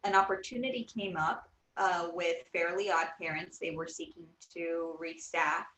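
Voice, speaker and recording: A woman's voice: fairly low pitch, steady tone, moderate pace, fairly bright tone, slight background noise. Puerto rican woman on a video call, kinda echoey room, lots of ums, earnest. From Puerto Rico. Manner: earnest, many fillers and restarts. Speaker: animation creator interviewee. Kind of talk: casual interview. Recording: roomy echo.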